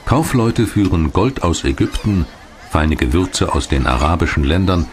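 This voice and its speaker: Very deep-voiced male documentary narrator. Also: animated, moderate pace, slight background noise. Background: music.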